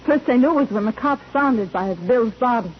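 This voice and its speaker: A woman's voice: a little high, lively intonation, moderate pace, close to an everyday voice. An actress playing a curt, resentful mother who works at a club, testifying tersely in a 1940s radio courtroom drama. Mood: guarded. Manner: terse, informal witness testimony. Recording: muffled, noisy old 1940s radio recording.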